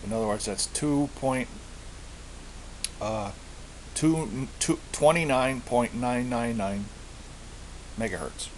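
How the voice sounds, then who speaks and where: A man giving a casual show-and-tell of his gear, speaking haltingly and often repeating himself as he searches for words. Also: mid-range voice, low-quality recording. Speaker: hobbyist presenter. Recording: hissy amateur home recording.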